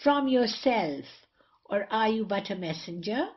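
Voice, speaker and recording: A woman's voice: mid-range voice, animated, a little slow, dull audio, close to an everyday voice. A woman playing a saucy, sneering tavern wit in a period comedy, teasing and prying theatrically. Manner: teasing, inquisitive.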